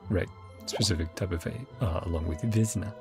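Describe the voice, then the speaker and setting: A man's voice: sits low, fairly quick, a little mellow. A man giving a casual, rambling game playthrough commentary, musing as he goes and easily amused. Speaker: gaming content creator. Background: game music.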